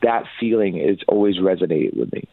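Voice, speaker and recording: A man in his thirties, raised in Hawaii, talks about his music with an earnest, upbeat warmth, his voice sitting fairly low. He comes through a dry, tinny phone line. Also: expressive, a little fast, creaky, no room sound. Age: 30s. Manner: earnest, upbeat, lots of fillers. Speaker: musician guest. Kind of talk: casual interview.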